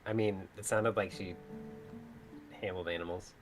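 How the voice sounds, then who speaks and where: A woman's voice: rumbling low, steady tone, normal speed. A woman streamer chatting casually between read-aloud lines on a stream. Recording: music clearly behind the voice. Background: music.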